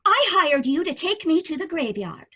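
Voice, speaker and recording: A serene, insistent lady passenger in an old-time radio play. Her voice is very high and bright, and it lilts widely. She stays eerily unbothered. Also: very animated, normal speed, full character voice. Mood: serenely insistent. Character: calm, eerily cheerful woman passenger. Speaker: cab passenger.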